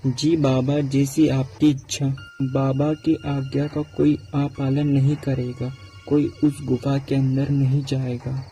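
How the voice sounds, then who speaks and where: Man voicing a slow-talking foolish young cartoon student. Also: mid-range voice, level tone, dark tone, close to an everyday voice, rough recording. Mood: polite. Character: foolish, excitable young Brahmin student travelling through a forest. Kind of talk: Hindi cartoon moral-story dialogue. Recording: music laid under the voice.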